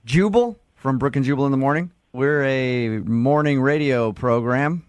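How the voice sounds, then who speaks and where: A man's voice: mid-range voice, moderate pace, clear, resonant tone, no room sound. A man with an American accent hosting a morning radio show, bantering and interviewing callers.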